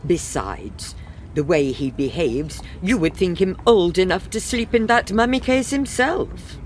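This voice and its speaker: A practical, dryly exasperated wife of middle years acted by a woman in a comic period radio farce. She speaks slowly with animated pitch, over faint background noise. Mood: skeptical.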